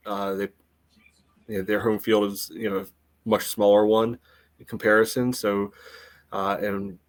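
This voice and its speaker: An American man giving casual sports analysis in a halting way, often padding with filler phrases. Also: fairly low pitch. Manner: halting, frequent "you know". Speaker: podcast panelist.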